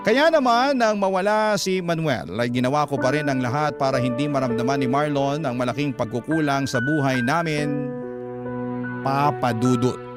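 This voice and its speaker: A man's voice: medium pitch, normal speed, close to an everyday voice. Sentimental Filipino man reading as a widowed letter writer, music underneath. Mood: wistful, tender. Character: widowed parent recounting family life in a heartfelt letter. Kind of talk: dramatized letter narration for a Tagalog radio drama.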